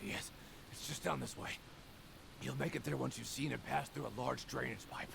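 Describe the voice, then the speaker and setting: Very creaky cowed henchman. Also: medium pitch, fairly even intonation, moderate pace, noisy background. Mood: submissive. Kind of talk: video game voice acting.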